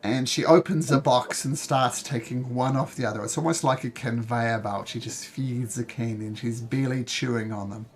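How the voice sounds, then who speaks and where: A game master narrating his tabletop scene in an easy, chatty way. His voice sounds a bit croaky from a cold, at an ordinary middle pitch. Faint music plays underneath. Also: steady tone, normal speed, hardly any pauses.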